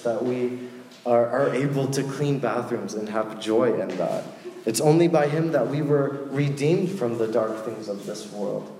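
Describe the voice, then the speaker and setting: A young man preaching to a youth group in a casual, joking way, with lively asides. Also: mid-range voice, slight background noise. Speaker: youth pastor. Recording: echoey hall with faint ambience.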